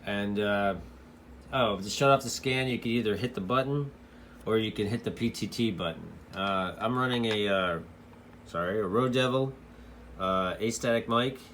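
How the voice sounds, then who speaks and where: A man's voice: lowish voice, normal speed, noisy background. A man giving a casual, loosely explained product walkthrough as a radio hobbyist reviewer, in an informal, rambling way.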